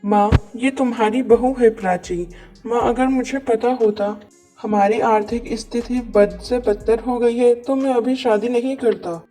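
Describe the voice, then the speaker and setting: A woman's voice: fairly high pitch, steady tone, measured pace, dark tone, faint music behind, close to an everyday voice, low-quality recording. A woman voicing a loving, dutiful young man in a cartoon moral story, speaking earnestly. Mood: apologetic. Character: young adult son, loving, dutiful, dismayed by poverty.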